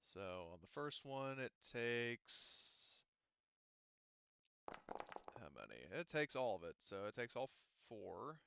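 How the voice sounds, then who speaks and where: A man acting as game master for a tabletop roleplaying game, talking casually and haltingly as he works through rules and narrates combat. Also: mid-range voice, big pitch swings, gravelly, a bit bright, clean tone, lo-fi sound. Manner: casual, halting delivery while working out rules.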